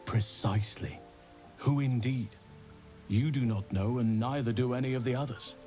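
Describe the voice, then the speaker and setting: A man playing a wise, solemn archdruid in a video game, speaking in a measured, formal and regretful way. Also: mid-range voice, steady tone, darkish tone, faint music behind, natural voice. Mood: resolute. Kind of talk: video game dramatic dialogue.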